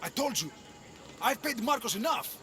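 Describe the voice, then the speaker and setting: An actor playing an indignant Greek merchant in debt in a video game, pleading yet defiant. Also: male voice, extremely high pitch, expressive, normal speed, bright, forceful tone, full character voice. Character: indignant male Greek merchant debtor, defiant but cowed. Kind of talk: cinematic video game acting.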